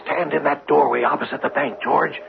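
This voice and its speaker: Casting: cold commanding outlaw, heavy gravel, slightly high voice, terse, muffled noisy radio play. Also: male voice, steady tone, brisk. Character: cold, commanding bank robber in old Missouri. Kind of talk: old-time radio drama acting. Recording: muffled radio broadcast with background noise.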